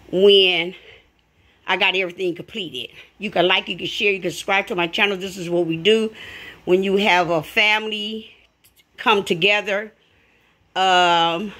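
A woman's voice: mid-range voice, a bit bright, dry recording. Chatty woman giving a home tour. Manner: chatty, talks straight to viewers. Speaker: vlogger showing her family cookout. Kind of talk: casual vlog walkthrough. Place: home kitchen and backyard, outdoors.

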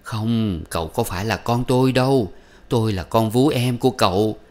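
A man's voice: on the low side, normal speed, darkish tone, close to an everyday voice. A man voicing a furious, domineering older mother-in-law who scolds and wails melodramatically. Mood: raging, wailing. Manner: scolding, wailing, self-pitying.

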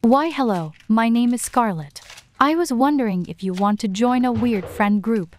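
A woman acts a bubbly teenage friend in an online storytime skit. Her voice sits fairly high. She chatters eagerly and is quick to apologize. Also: expressive, noisy background, close to an everyday voice. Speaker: voice actor in an animated storytime drama. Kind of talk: scripted amateur roleplay dialogue.

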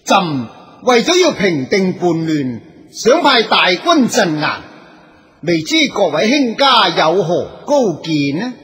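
An actor playing a stately, commanding emperor in a radio drama, with formal, imperious phrasing. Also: male voice, high-pitched, very animated, takes it slowly, fairly bright tone, heavily acted. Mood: stately, deliberating. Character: stately emperor holding court, measured and commanding. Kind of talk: Cantonese radio drama acting. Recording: long hall reverb.

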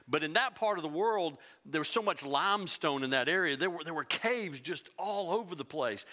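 A middle-aged pastor preaches from a church stage with an animated delivery. He swings between loud emphasis and hushed, near-whispered lines. His voice is bright and fairly high. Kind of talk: sermon.

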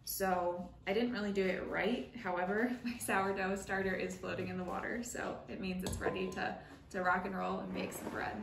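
A woman's voice: mid-range voice, creaky. Young woman chatting in an echoey kitchen. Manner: friendly, chatty, explains step by step. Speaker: home baker and channel host. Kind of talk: casual baking tutorial. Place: home kitchen, indoors. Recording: echoey kitchen with faint noise.

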